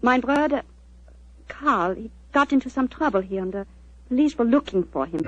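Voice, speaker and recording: Anxious young Viennese woman, husky. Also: fairly high pitch, lively intonation, no room sound. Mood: anxious, bitter. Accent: German. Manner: pleading, halting foreign English. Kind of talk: 1940s radio drama acting. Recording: muffled 1940s radio recording.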